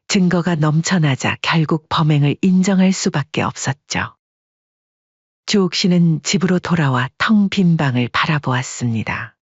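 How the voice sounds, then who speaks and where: Husky male story narrator. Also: a little high, dry recording. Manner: even, dramatic storytelling. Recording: dull lo-fi dry sound.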